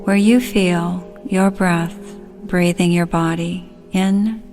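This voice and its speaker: A woman leading a guided relaxation, speaking soothingly and gently in short, unhurried phrases. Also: lowish voice, fairly even intonation, over music.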